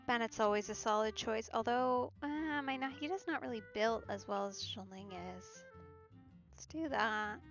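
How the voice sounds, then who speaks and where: A woman giving casual commentary as she plays a video game on stream, thinking aloud haltingly with murmured asides. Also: a little high. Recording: muffled lo-fi audio, faint game music.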